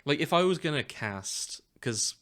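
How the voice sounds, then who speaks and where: A man's voice: on the high side, sing-song, unhurried, fairly bright tone. A man co-hosting a podcast, chatting casually and jokily about fandom with enthusiasm. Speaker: podcast co-host. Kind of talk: casual fandom chat, some reading aloud of story summaries.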